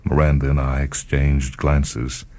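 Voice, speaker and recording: Very deep, mellow American male storyteller. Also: little pitch movement. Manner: slow, measured, deliberate, grave delivery. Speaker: radio host and story reader. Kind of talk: dramatic scripted short-story narration. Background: music.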